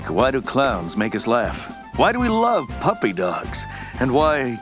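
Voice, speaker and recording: A big dumb pompous cartoon hero, swoopy delivery, loud music behind. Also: male voice, medium pitch, wildly swooping pitch, measured pace. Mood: grandly pondering. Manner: pompous, declaiming. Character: big, dumb, bombastic childish blue superhero. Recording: music over cartoon clip.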